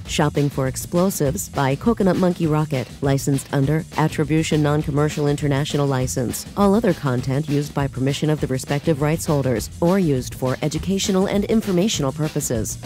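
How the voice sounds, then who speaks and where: A woman's voice: low-pitched, mellow. A woman reading scripted programme credits and notices as an announcer. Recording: faint music bed.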